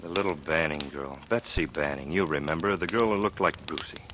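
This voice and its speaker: Very deep, grim avenger, noisy radio. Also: male voice, a little fast. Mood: grim, vengeful. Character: grim, worried male friend bent on avenging murders. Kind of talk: 1940s radio drama acting. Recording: noisy old radio broadcast recording.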